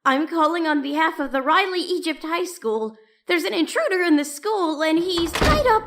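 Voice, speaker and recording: Woman playing a high-pitched flustered school staffer. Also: very animated, moderate pace, noisy background, exaggerated voice. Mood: alarmed. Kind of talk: amateur superhero audio drama.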